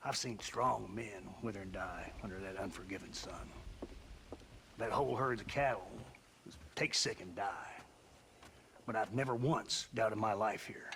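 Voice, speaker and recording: A man's voice: fairly low pitch, measured pace, fairly bright tone, a little hiss or hum. An actor playing a stern, opinionated older American rancher and father in a western video game, speaking in a folksy, weighty, speechifying way. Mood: somber. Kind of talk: video game cutscene acting.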